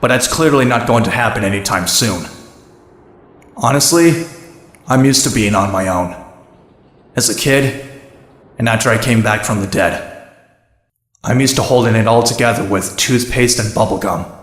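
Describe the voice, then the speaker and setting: An actor playing a wry, defiant young outlaw vigilante with a sardonic, self-deprecating delivery. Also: male voice, lowish voice, moderate pace, pauses often, a bit bright, close to an everyday voice. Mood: brooding, wry. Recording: echoey, long reverb.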